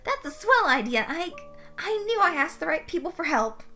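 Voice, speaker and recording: A woman's voice: very high voice, very animated, moderate pace, sharp tone, soft background music, voice pushed up, full character voice. A woman voicing a cheery, earnest young male accountant in an audiobook, eager and folksy. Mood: cheerful, eager. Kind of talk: audiobook character voice.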